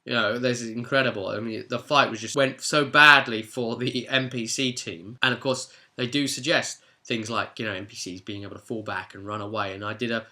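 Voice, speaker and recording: A British man with a bright, forceful voice at a middle pitch tells the story of a tabletop role-play session. He talks as the game master, chatty and animated, in a casual recap style. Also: a little fast, hardly any pauses.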